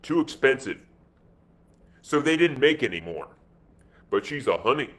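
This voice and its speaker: A man's voice: mid-range voice, very animated, unhurried, a bit bright. A man voicing a tough American pilot in an adventure story, wary and plain-spoken, with breezy slang.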